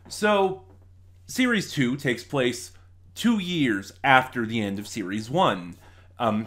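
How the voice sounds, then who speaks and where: A man's voice: medium pitch, a bit bright. A man giving a casual spoken review as host, digressing and correcting himself as he goes. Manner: rambling, digressive, self-correcting.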